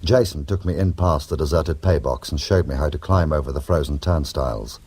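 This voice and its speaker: An actor playing a wry, cheeky criminal in a radio drama, who also narrates with sardonic, glib humour. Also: male voice, extremely low pitch, brisk, darkish tone, breathy edge. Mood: wry, atmospheric.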